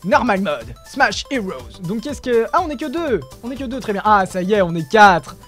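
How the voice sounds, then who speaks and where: A young man giving excited commentary over his own gameplay, exclaiming often and talking straight to his viewers, recorded on a desk mic with headphones on. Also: high-pitched, moderate pace, soft background music. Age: young adult. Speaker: gaming video creator.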